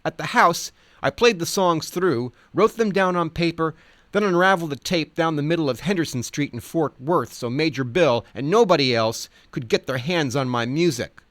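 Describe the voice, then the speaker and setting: A man's voice: a little high, moderate pace, fairly bright tone, no room sound, pitched above the performer's own voice, natural voice. A man voicing a guileless, stubborn male musician in emphatic quotations within a nonfiction reading. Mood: defiant, excited. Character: guileless, excitable, stubborn Texan male musician recalling grievances.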